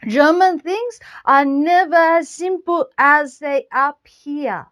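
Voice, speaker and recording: A woman with a French accent hosting a casual book review. She talks in halting phrases, restarts often, and sprinkles in French words. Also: sits high, a bit bright. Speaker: book channel host.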